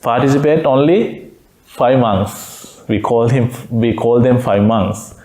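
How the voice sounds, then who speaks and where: A Buddhist monk from Sri Lanka giving a Dhamma talk, speaking in simple phrases and pausing often with "uh" between words. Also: male voice, mid-range voice, dark tone. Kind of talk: slow, halting Dhamma talk with many fillers. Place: meditation center room.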